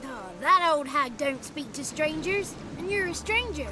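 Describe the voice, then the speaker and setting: A woman's voice: sits high, animated, unhurried. A woman voicing a cheeky childlike male swamp spirit in a video game, with theatrical, quaint rustic turns of phrase. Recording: music under the voice. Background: game music.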